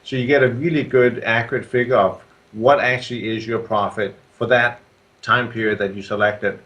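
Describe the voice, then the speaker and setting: A man with a Danish accent, a software creator answering interview questions in a steady, explaining way with frequent ums and restarts. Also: medium pitch, normal speed, dull audio. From Denmark.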